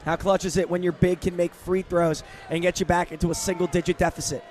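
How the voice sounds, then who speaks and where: A man's voice: high-pitched, flat delivery, quick pace, darkish tone. A man giving conversational, explanatory color commentary on a live basketball game.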